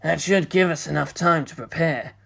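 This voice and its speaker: A narrator voicing a laid-back, flirtatious male director in an easygoing, low-key way for an audiobook. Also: normal speed, creaky, a bit bright. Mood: weary.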